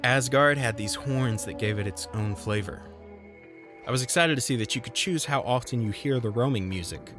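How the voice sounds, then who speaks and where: A man's voice: medium pitch, fairly bright tone. Dry-witted man narrating a game review. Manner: dry, self-deprecating humor with sarcastic asides.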